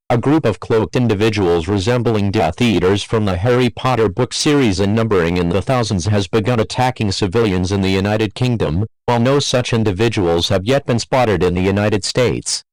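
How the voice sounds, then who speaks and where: A man's voice: lowish voice, dry recording, rough recording. A man's synthetic-sounding voice reading a scripted emergency alert in a formal, impersonal way.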